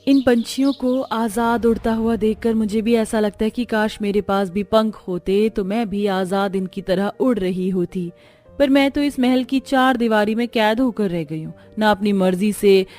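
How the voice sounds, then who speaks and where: A woman's voice: medium pitch, fairly even intonation, measured pace, warm tone, faint music behind, natural voice. A woman voicing a sad, meek young stepdaughter in a cartoon story, plaintive and timid. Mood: wistful.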